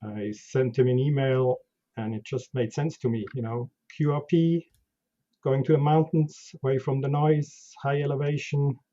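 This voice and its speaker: A man's voice: medium pitch, steady tone, normal speed, warm tone, dull audio. A man from Switzerland with a Swiss German accent, a guest chatting casually about his background in an interview. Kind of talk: conversational interview.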